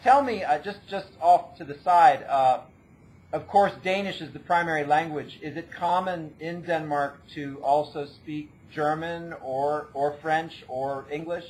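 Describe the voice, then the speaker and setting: A man interviewing a guest, asking halting questions and cheering her on with short replies. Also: on the high side, a little mellow, low-quality recording. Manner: encouraging, quick short acknowledgements, hesitant questions.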